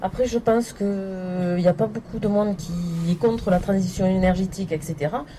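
A woman taking her turn in a public discussion, polite and measured. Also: medium pitch, dark tone, a little hiss or hum. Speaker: participant in a public debate.

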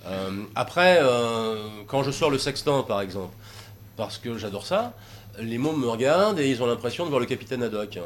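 A man's voice: mid-range voice, expressive, normal speed, slight background noise. A man talking informally about taking troubled youths sailing, rambling with many hesitations and fillers. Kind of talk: informal talk with audience questions.

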